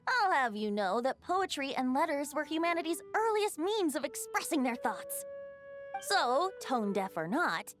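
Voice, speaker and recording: A woman's voice: high voice, sing-song, unhurried, over music. A woman voicing a youthful male bard spirit in an anime video game, breezy, playful, eloquent and theatrical. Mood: playful, proud.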